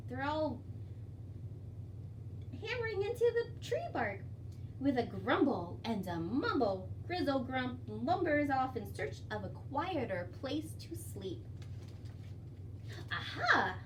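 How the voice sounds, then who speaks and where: A woman leading a children's storytime as a librarian, with an expressive, sing-song way of addressing young children. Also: high-pitched. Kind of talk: children's storytime with songs, rhymes and read-aloud. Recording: distant mic, faint background noise.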